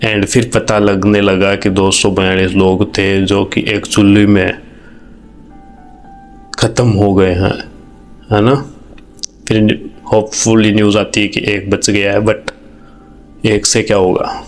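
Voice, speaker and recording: Low-pitched Indian man, earnest, music behind. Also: level tone, takes it slowly, long pauses. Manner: earnest, conversational, mixing English phrases into talk. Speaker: video host. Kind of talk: informal, reflective commentary to camera.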